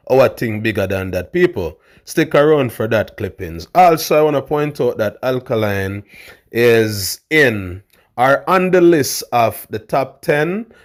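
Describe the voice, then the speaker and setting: Big-voiced man hosting a vlog. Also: mid-range voice. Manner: loud, hype, calls viewers fam. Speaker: host. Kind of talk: casual commentary vlog.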